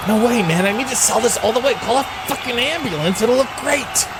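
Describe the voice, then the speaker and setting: A brash young male wrestler acted out by a man in an audio drama, pushy and cocky. The voice is high and swings widely in pitch, over loud crowd noise. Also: expressive, brisk. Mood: brash, insistent. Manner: brash, swearing, insistent.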